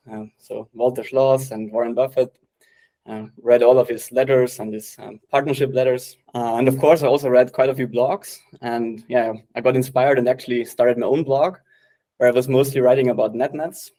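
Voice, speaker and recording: Relaxed man in his late twenties. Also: medium pitch, moderate pace. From Austria. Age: late 20s. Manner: relaxed, many fillers like "uh" and "yeah". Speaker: private investor guest. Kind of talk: casual interview answers.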